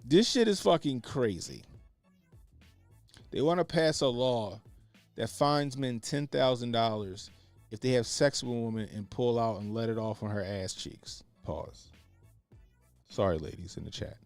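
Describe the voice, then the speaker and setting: A man hosting a live reaction stream, cursing freely and laughing at what he watches. He drops into low mutters and then bursts out loud. His voice is dry and close, with faint music behind. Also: animated, measured pace, pauses often, dry recording. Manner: crude, laughing, swings from mumbled asides to loud outbursts. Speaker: stream host. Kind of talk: casual reaction commentary.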